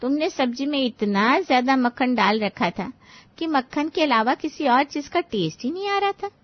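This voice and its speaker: Woman playing kindly older auntie, gentle, reassuring, high voice, cartoon moral story, slightly muffled audio. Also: lively intonation, moderate pace, dry recording. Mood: gently explaining. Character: kind older aunt-in-law, gently advising her nephews' wives. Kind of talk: animated moral-story dialogue.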